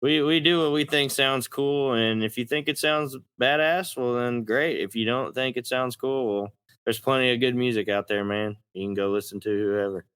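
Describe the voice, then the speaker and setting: Bright, clear Texan man chatting casually. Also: mid-range voice, normal speed, no room sound. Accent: Texas. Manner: folksy, plain-spoken, mildly profane. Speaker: country rock singer and songwriter being interviewed. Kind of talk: casual interview chat.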